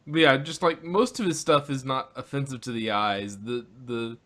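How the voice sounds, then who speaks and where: A man co-hosting a podcast in casual group chat, with a halting, jokey way of talking. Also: mid-range voice, a bit bright. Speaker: podcast co-host.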